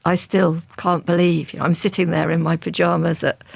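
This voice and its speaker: A woman's voice: on the low side, flat delivery, a little fast, gravelly, husky, dry recording. An older woman, a crime novelist, answering interview questions in a chatty, thoughtful way with frequent restarts.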